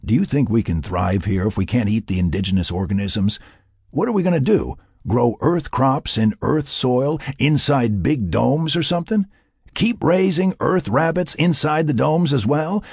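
A gruff, hot-headed male hunter and settler in an audiobook, acted by a male narrator. He is folksy and argumentative, with a raspy voice that swings widely in pitch. The sound is dry. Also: animated, moderate pace, darkish tone, no echo. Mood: insistent. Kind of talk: audiobook character dialogue.

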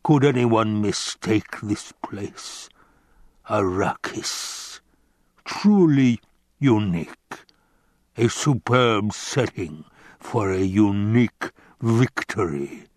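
A man voicing a fat, scheming baron in an audiobook, with a rumbling, growling bass and a grandiose, savoring delivery. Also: expressive, very slow, a little mellow, no room sound, clean studio sound. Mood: savoring, smug. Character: fat scheming villainous baron, pompous and menacing.

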